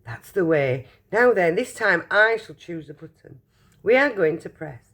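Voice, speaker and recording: A woman's voice: lowish voice, full character voice. A woman voicing an exuberant, eccentric male candy maker with theatrical, gushing speech, reading a storybook aloud. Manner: gushing, theatrical, fast bursts of excitement.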